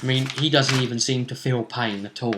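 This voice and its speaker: A man's voice: mid-range voice, steady tone, brisk, background noise. A man hosting a role-play vidcast, talking casually through previews of upcoming matches.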